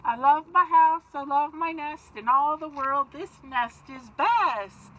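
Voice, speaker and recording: A woman's voice: high voice, takes it slowly, fairly bright tone, a little hiss or hum, pitched above the performer's own voice, rough recording. A woman voicing a fussy, contented mother bird from a storybook, in a singsong, emphatic way. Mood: happy singing.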